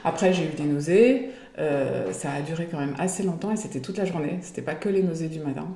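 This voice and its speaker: A woman's voice: sits low, fairly quick, mellow, echoey room, slight background noise. A woman in her forties chatting in an interview, hesitating often as she talks. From Belgium. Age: 40s. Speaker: guest. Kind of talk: casual interview chat.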